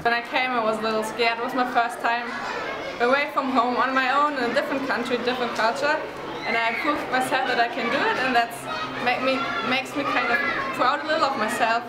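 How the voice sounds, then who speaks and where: A woman's voice: a little high, steady tone, brisk, heavy background noise. A young woman volunteer speaking sincerely in simple, slightly broken English. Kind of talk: casual interview testimonial. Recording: echoey hall with loud crowd.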